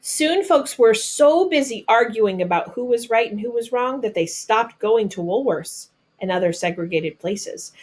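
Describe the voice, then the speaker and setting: A woman reads a children's picture book aloud, deliberately, adding simple explanations in her own words as if talking to children. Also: mid-range voice, slightly rough. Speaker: storybook reader.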